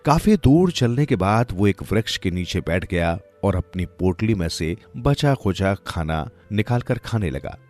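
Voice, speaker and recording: A man's voice: low voice, animated, unhurried, few pauses, darkish tone, faint music behind. A man narrating a moral folk tale from a script, as a storyteller.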